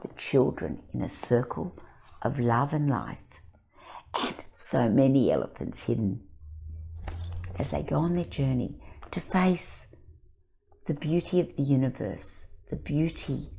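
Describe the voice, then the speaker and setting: An older woman, the author, reading her picture book aloud and talking about it in an expressive, dramatic storytelling manner, sometimes breathy. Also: extremely low pitch, slow pace, long pauses. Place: indoor studio set, seated in armchair.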